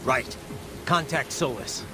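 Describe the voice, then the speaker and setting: Guy doing a grim game cyborg soldier, clipped serious lines, mid-pitched, music behind. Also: takes it slowly, lightly acted. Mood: decisive. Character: grim, determined male cyborg soldier, terse and businesslike. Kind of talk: video game cutscene acting.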